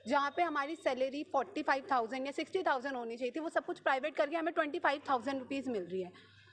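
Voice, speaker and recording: High-voiced young North Indian woman, insistent. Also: animated, fast, fairly bright tone. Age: 20s. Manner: insistent, repetitive, mixes in many English words. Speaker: local resident interviewed on the street. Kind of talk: impassioned spontaneous vox pop.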